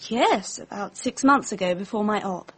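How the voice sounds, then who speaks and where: Actress as flippant, husky young Englishwoman. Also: mid-range voice, normal speed, natural voice. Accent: British. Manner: wry, offhand, drawling society slang. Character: flippant, breezy young upper-class Englishwoman. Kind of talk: radio drama acting.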